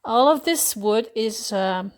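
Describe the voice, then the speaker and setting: A woman with a Dutch accent casually explaining a craft project, pausing often with fillers and correcting herself as she goes. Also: on the high side, animated. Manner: hesitant, many pauses and fillers, self-correcting. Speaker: hobby crafter showing a miniature build. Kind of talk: casual show-and-tell explanation.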